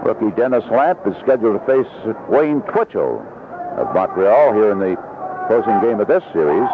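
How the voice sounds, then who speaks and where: A man's voice: lowish voice, very animated, normal speed. Baseball announcer, man, vintage radio. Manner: descriptive, short clipped calls. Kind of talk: live radio sports commentary. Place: broadcast position at an outdoor ballpark. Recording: narrow-band vintage radio broadcast, noisy.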